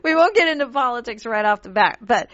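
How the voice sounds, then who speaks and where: A woman's voice: a little high, quick pace, no echo. Woman radio host from pakistan, laughs a lot, stumbles and restarts, kinda dull lo-fi dry audio. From Pakistan, raised in London and Montreal. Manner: laughs often, animated, many restarts. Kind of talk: casual interview chat.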